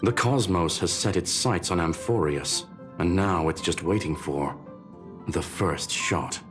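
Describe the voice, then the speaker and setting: Deep grim stoic game character, terse, music behind. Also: male voice, a little slow. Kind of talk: video game story voice acting.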